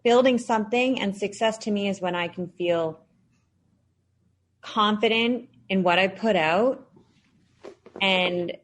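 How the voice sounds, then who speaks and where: A woman's voice: mid-range voice, slow pace, pauses often, a little hiss or hum. A woman in her thirties, a podcast guest and founder, answering interview questions in an earnest, reflective way with frequent fillers. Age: 30s.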